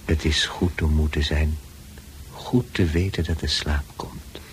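A man acting a calm, authoritative psychiatrist in a radio drama, speaking in a measured, reassuring way. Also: extremely low pitch. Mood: hushed, hypnotic. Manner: measured, reassuring, hypnotically slow at times. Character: calm, authoritative male psychiatrist soothing a patient. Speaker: actor in a radio play. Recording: noisy old radio play recording.

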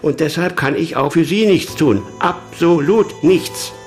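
An older male actor playing a gruff, strict yet paternal police commissioner in a radio crime drama, speaking with weighty emphasis. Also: on the high side, measured pace, soft background music, natural voice. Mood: stern, pained.

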